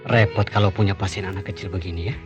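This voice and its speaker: An actor playing a persistent, teasing hospital colleague and suitor in an Indonesian radio drama, familiar and needling. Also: male voice, sits low, fairly even intonation, quick pace, lightly acted. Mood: coaxing. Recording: dull radio recording, clear music behind.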